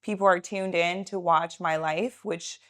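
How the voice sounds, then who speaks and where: Woman in her 30s, russian first language, lower voice, lots of "like", chatty guest. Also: fairly even intonation, a little slow, a bit bright. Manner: chatty, frequent "like" fillers, laughs easily. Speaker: guest, realtor and entrepreneur. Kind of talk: casual interview chat.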